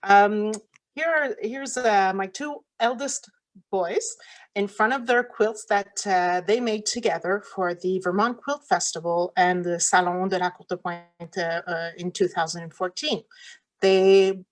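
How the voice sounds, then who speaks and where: A woman's voice: medium pitch, unhurried. French-speaking woman giving a casual talk. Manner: casual, many fillers and restarts. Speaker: quilt shop owner giving a talk. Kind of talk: presentation over slides. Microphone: computer mic on a video call.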